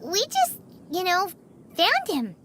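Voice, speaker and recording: A woman voicing a small floating female fairy companion in a game, sassy and quick with a comeback. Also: extremely high pitch, huge pitch swings, music in the background, heavily acted. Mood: cheeky. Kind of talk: game cutscene voice acting.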